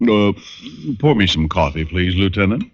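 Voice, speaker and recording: An actor playing a cocky, wisecracking private detective, bantering and narrating in a glib, sardonic way in an old radio drama. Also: male voice, sits low, animated, normal speed. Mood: breezy. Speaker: lead and narrator. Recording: dull old radio recording with music.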